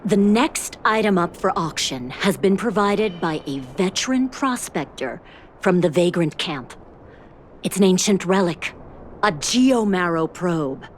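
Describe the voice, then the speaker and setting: Animated, formal auctioneer. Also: female voice, fairly low pitch, a little slow, slight background noise. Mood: showy, formal. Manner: formal, presentational. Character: formal, showy female auctioneer presenting a relic. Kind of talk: scripted video game announcement.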